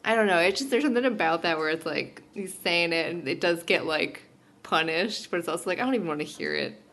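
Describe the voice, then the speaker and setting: A woman's voice: lowish voice, quick pace, gravelly, fairly bright tone. A woman co-hosting a podcast in casual chat, reacting bluntly and informally. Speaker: podcast co-host.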